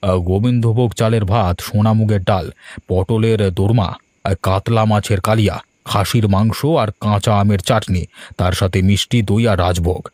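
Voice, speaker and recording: A man's voice: fairly low pitch, fairly even intonation, normal speed, darkish tone, no room sound, studio quality, natural voice. Courteous old village landlord played by a man. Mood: gracious. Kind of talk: animated cartoon voice acting. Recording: faint music behind the voice.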